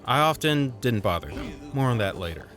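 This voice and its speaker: A man's voice: medium pitch, sing-song, moderate pace, fairly bright tone. A man hosting a scripted video game review, narrating with a wry, slightly theatrical manner. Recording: music behind the voice. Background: music.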